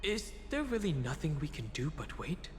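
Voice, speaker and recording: An actor playing an earnest, restless young adventurer in a video game, talking in a casual, direct way. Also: male voice, fairly high pitch, big pitch swings, moderate pace, in a large hall, background noise. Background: music.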